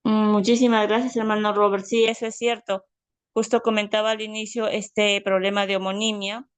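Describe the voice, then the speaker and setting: A woman's voice: medium pitch, flat delivery, moderate pace, clear, resonant tone, no room sound. A woman teaching a family history class over a video call, speaking in a friendly way with many fillers. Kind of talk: online class, casual explanation.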